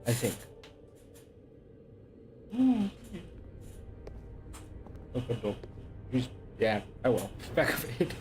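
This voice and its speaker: A man's voice: medium pitch, huge pitch swings, extremely slow, pauses often, darkish tone, soft background music. A man doing casual live gameplay commentary as a streamer, in an offhand, sweary way. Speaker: game streamer.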